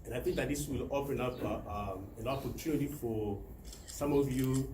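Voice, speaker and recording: A middle-aged man from the Democratic Republic of Congo giving a prepared personal talk to a club, pausing often with filler sounds. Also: medium pitch. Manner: hesitant, many filler sounds. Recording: roomy hall with faint ambient noise.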